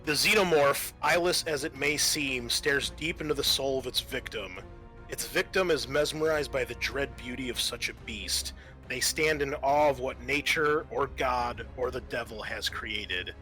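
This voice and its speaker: A man acting as game master, narrating an improvised tabletop horror game with halting but vivid, dramatic descriptions. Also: mid-range voice, bright, forceful tone, poor audio. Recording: music playing behind.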